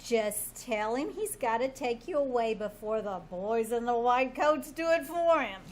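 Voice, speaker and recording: A woman's voice: fairly high pitch, lively intonation, a little slow, slight background noise. A woman playing a brash, sardonic maid who wisecracks as a loyal confidante in an old-time radio comedy. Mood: scheming, urging. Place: live performance on a small theater stage.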